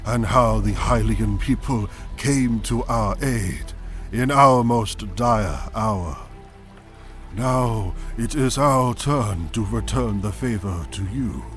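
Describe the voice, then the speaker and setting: A solemn, kindly old king of the fish-folk, played by a man, speaks with regal weight. His lowish voice moves slowly and deliberately, with soft music underneath, as in a fantasy audio drama. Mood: warm, resolute. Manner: stately, measured, regal. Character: benevolent, solemn aging fish-folk king and grieving father.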